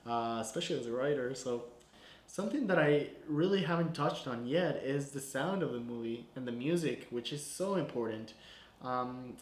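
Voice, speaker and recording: Chatty young man in an echoey room. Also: medium pitch. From Guatemala. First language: Spanish. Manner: relaxed, chatty, full of "like" and "you know". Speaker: filmmaker. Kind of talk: casual breakdown of his short film.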